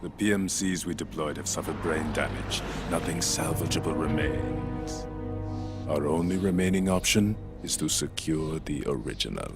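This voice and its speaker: A very deep-voiced sinister henchman, measured, game cutscene, loud music. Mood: cool report. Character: sinister male henchman, cool and unsettling. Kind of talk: video game cutscene acting. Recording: game cutscene over loud orchestral score.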